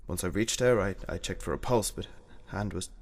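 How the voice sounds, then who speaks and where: Low-voiced man acting, a grieving, halting general. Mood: grave, halting. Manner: halting, formal, subdued. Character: grieving, haunted Edwardian general, gentlemanly widower. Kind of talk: radio play acting.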